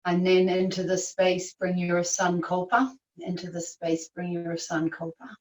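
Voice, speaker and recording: Female yoga nidra teacher, lowish voice, soothing guided meditation, very unhurried delivery, recorded in an echoey room. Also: steady tone. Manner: soothing, unhurried, long pauses. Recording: echoey room, distant microphone.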